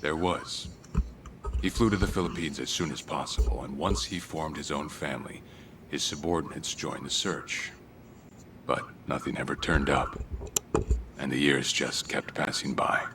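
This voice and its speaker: An actor playing a grim middle-aged gangster, recounting the past in a measured, solemn way in a game cutscene. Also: male voice, very deep voice, slight background noise. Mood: somber. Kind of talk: dramatic video game cutscene acting. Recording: game audio with loud background music. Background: loud cinematic music.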